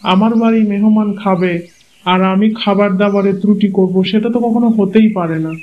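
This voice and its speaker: A man's voice: high-pitched, steady tone, a little fast, close to an everyday voice. A man doing an eager young husband. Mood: insistent. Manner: insistent, upbeat. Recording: muffled lo-fi audio.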